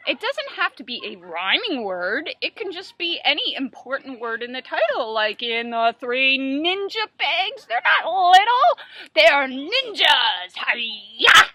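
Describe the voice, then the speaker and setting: A woman's voice: sits high, bright, forceful tone, no room sound. A woman, a children's book author, talking through writing tips in a playful, self-deprecating way at home. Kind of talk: casual tips video.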